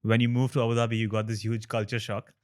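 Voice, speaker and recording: Low-voiced, measured male podcast host. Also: little pitch movement, darkish tone. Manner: measured, reflective questioning. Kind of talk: interview questions.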